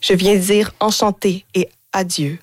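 A woman in her twenties, born in Haiti, reciting her own spoken-word poetry in a measured, deliberate way in a radio studio. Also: mid-range voice, fairly even intonation, a little mellow, no echo. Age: 20s. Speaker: guest poet.

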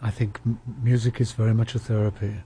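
A man interviewing guests in a relaxed chat, often repeating words and restarting his sentences. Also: lowish voice, fast talker, a little hiss or hum. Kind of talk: casual conversational interview.

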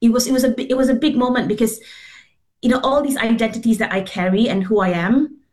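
A woman's voice: fairly high pitch, quick pace, darkish tone. A middle-aged woman from Singapore, a guest telling her story earnestly over a video call, with fillers and restarts. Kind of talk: remote interview.

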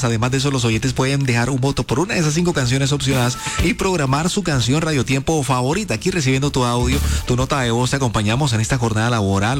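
An upbeat man hosts a radio countdown, chatting warmly to his listeners. Music plays clearly under his voice in the studio. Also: medium pitch. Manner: upbeat, lively, talks straight to listeners. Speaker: radio host.

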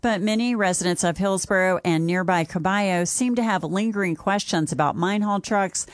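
A woman's voice: mid-range voice, normal speed, no room sound, studio quality. A woman reading a scripted radio news report as the reporter.

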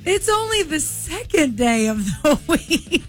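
A woman's voice: high-pitched, big pitch swings, a little slow, faint music behind. Laughing woman co-host, late thirties. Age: late 30s. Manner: laughing, storytelling, quoting herself. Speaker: radio morning show co-host. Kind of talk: casual on-air banter.

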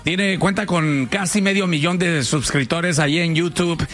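A man's voice: a little high, fairly even intonation, normal speed, fairly bright tone, faint music behind. A man hosting a radio show from a studio booth, joking playfully with the guest. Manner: joking, playful, plays with names. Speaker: radio host.

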